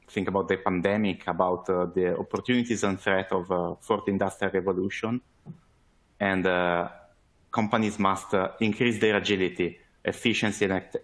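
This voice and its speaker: Low, flat-voiced Italian man presenting. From Italy. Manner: hesitant, many fillers, measured. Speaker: webinar presenter, sales manager.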